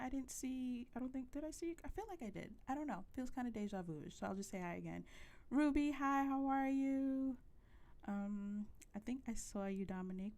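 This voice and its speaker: A woman streaming a video game from home, chatting casually with a soft voice close to her microphone, with lots of ums. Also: mid-range voice. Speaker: livestreamer. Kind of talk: casual gaming livestream chat. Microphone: close to a desk microphone.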